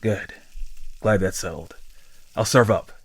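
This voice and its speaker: A man's voice: on the low side, unhurried, slightly rough. A man playing a casually authoritative boss in a sci-fi horror audio drama, breezy and dryly matter-of-fact. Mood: coolly firm. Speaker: commanding officer of facility staff.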